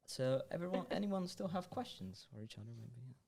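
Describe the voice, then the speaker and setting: A young man hosting a student podcast, speaking hesitantly with many pauses and giggles. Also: medium pitch, sing-song, gravelly, a little mellow. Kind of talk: casual interview chat.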